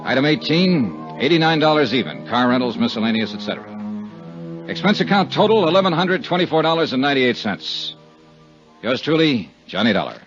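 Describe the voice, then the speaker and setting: A man's voice: medium pitch, big pitch swings, brisk, music in the background, natural voice. An American man acting a shrewd, world-weary insurance investigator who narrates in a 1950s radio detective drama. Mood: brisk, dry. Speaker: lead actor and narrator. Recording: muffled 1950s radio recording.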